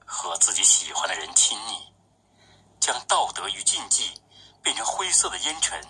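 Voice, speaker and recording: Husky low-voiced man reciting poetry. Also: very animated, normal speed, sharp tone, rough recording. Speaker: poet reading his own work.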